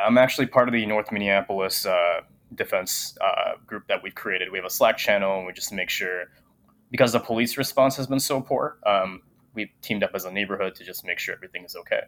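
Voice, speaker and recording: A young man with a fairly low voice talks thoughtfully, pausing often with ums. He sounds like a guest speaking over a remote call. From Japan. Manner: soft-spoken with many filler ums. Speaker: guest, teacher. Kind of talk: casual interview conversation.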